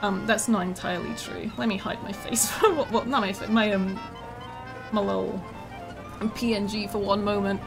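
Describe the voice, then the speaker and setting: A woman's voice: mid-range voice, fairly even intonation, normal speed, gravelly. A woman who develops games, chatting casually on a live stream with a slightly worn voice and lots of ums. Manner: chatty, with many ums and laughs. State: slightly losing her voice. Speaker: game developer streaming gameplay. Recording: music playing behind.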